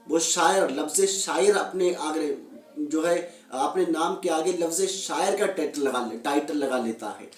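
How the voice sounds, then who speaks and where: A man giving informal religious commentary and admonishment, earnest and repeating his phrases for emphasis. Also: fairly high pitch. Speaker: Islamic religious commentator addressing viewers. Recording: echoey room, distant microphone.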